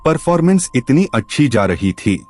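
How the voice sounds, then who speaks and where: A man's voice: medium pitch, big pitch swings, moderate pace, a little mellow. A man narrating a scripted story in a dramatic storytelling style, with music behind him.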